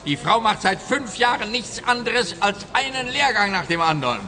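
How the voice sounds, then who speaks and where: A pompous police officer, bright-voiced. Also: male voice, sits high, lively intonation, moderate pace, in a large hall. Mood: smug, dismissive. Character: male police officer, smug, pompous, grumbling, needling a private detective. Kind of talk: German-language radio comedy crime play.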